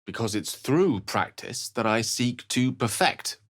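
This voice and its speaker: An actor playing an erudite, courteous wizard in a fantasy video game, speaking in an eloquent, formal and dramatic way, with wry and melancholy shades. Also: male voice, medium pitch, animated, unhurried, a bit bright, no room sound, studio quality. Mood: solemn. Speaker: video game companion character. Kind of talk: theatrical fantasy game dialogue and narration.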